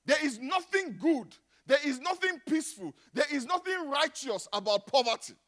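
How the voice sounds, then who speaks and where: A middle-aged Nigerian man preaching a sermon, dropping to a hush and then rising to loud exclamations. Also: extremely high pitch, animated, moderate pace. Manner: dramatic, swings from hushed to shouting. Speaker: pastor.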